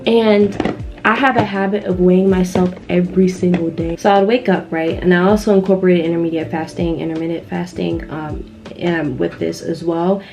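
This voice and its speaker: A woman's voice: on the low side, steady tone, moderate pace, creaky, darkish tone, soft background music. A young woman chatting casually to her viewers as a vlogger, in an informal, rambling, slangy way with lots of filler "like". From Ghanaian background. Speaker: YouTube vlogger. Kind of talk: casual chat to viewers, personal storytelling.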